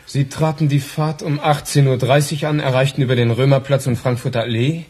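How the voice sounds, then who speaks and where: Husky, sardonic police detective, played by a man. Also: mid-range voice, flat delivery, brisk, a little mellow, close to an everyday voice. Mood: probing, dry. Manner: dry, ironic, officious. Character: sardonic male police detective questioning a witness. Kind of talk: 1980s German radio crime drama acting.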